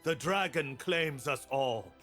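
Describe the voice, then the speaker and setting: An actor playing a stern, wise blind warrior monk in a video game, speaking in solemn, measured aphorisms with dry humor. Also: male voice, mid-range voice, lively intonation, a bit bright, soft background music. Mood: stern, resolute.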